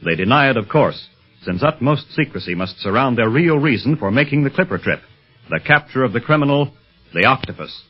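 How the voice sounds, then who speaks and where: A man's voice: medium pitch, expressive, a little fast, breathy edge, faint music behind. A man narrating an old-time radio adventure serial as its announcer. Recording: muffled vintage radio recording.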